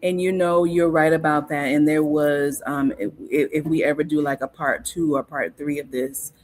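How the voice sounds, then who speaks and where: A woman's voice: deep voice, steady tone, normal speed, a little mellow. Thoughtful woman guest from South Carolina. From Hilton Head, South Carolina. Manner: thoughtful, starts over often with ums. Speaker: podcast guest. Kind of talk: casual conversation, storytelling.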